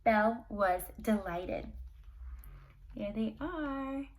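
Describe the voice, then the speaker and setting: A woman's voice: fairly high pitch, extremely slow, a little hiss or hum. A woman reading a fairy tale aloud to children expressively, with casual asides. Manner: expressive, dramatic storytelling. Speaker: storyteller, teacher.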